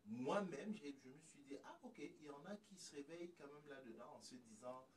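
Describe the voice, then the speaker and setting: A man's voice sounds somewhat away from the microphone, with slight noise. He hosts in a casual conversational style. His delivery is halting and roundabout, often restarting before he reaches the point. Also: a little high, lively intonation. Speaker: host. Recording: distant mic with noise.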